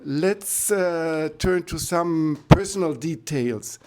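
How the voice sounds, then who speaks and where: A man hosting a podcast interview, asking measured, formal questions. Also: a little high, expressive, a little mellow. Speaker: podcast host.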